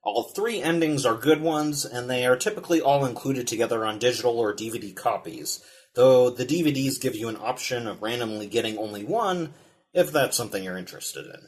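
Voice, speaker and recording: Male review host, mid pitch, highly varied intonation, scripted video-essay narration. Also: animated, brisk. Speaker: film reviewer and show host.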